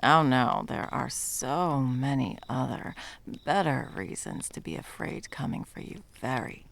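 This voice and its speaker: An intense female monster hunter, acted by a woman for an ASMR roleplay. Her voice is gravelly and creaks at the edges. She speaks with deliberate, dramatic menace and open scorn. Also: rumbling low, animated, a bit bright, full character voice. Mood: furious, scornful.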